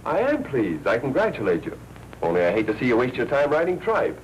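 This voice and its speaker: Brusque American newspaper boss, wry patter, animated pitch, noisy vintage film soundtrack. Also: male voice. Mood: sardonic. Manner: brusque, wry, fast-talking newsroom patter. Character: brisk, hard-nosed male newspaper managing editor. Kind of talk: 1940s film noir acting.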